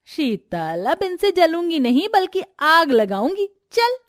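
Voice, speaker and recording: A woman's voice: very high-pitched, big pitch swings, slow pace, dry recording, studio quality, pitched above the performer's own voice, full character voice. A woman voicing a jealous, spiteful young village schemer in a taunting, needling manner for a family story. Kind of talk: Hindi family moral-story acting.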